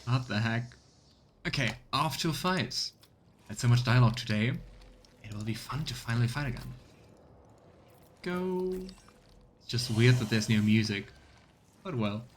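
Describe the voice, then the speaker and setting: A man streaming a role-playing game, chatting casually as he plays and rattling off item descriptions in quick bursts. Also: medium pitch, animated, slight background noise. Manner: thinks aloud, reads item text in quick bursts. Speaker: let's play streamer. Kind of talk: casual gameplay commentary.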